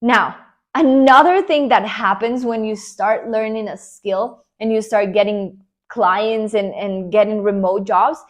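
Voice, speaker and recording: A woman's voice: medium pitch, unhurried. A young woman from Colombia with a Colombian Spanish accent, Spanish her first language, coaching viewers on online careers in an earnest, encouraging talk to camera. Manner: earnest, encouraging, emphatic with repeated promises.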